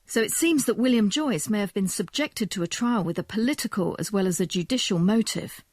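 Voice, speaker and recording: A woman presents a documentary in a measured, questioning and explanatory way. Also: medium pitch, lively intonation, darkish tone, no room sound, studio quality. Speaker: presenter, history professor. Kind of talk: documentary narration and interview.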